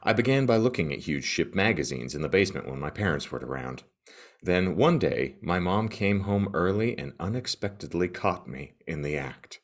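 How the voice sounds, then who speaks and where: Male podcast host, very low voice, expressive swings, mock-serious comedic reading with deadpan drama. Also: moderate pace.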